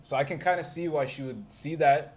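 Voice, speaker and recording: A man's voice: a little high, fairly quick. A man, a podcast guest, agreeing and chiming in during casual chat. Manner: agreeable, chimes in often. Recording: faint background noise.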